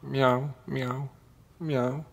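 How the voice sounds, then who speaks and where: A man's voice: mid-range voice, flat delivery, drawn-out words, vocal fry, breathy edge, voice pushed up, full character voice. A man voicing a tough, boastful little female cat in a children's storybook. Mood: crying softly. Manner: boastful, self-assured.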